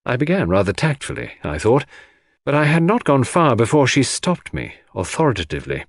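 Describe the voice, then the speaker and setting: Husky male narrator with sweeping intonation. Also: medium pitch, very animated, fairly quick, no room sound, clean studio sound. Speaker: audiobook narrator. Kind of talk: scripted first-person mystery narration.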